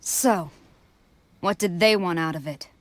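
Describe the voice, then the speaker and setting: A woman's voice: fairly low pitch, unhurried, a little hiss or hum, lightly acted. A woman playing a stern, dutiful, proud military commander in a video game, speaking formally and with authority. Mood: stern, angry.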